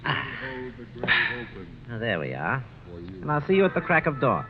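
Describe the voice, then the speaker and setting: A suave male con man, effusive and formal, insisting with genial charm. His pitch rises and dips widely, on a dull vintage recording. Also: huge pitch swings, moderate pace. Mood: hearty, insistent. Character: smooth-talking male ex-swindler, genial, insistent, falsely sincere. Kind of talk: 1950s radio drama acting.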